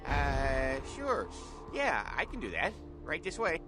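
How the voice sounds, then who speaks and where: High-voiced, officious security guard. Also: male voice, wildly swooping pitch, takes it slowly, music in the background, heavily acted. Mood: compliant. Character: officious but easily cowed male security guard. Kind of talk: video game character acting.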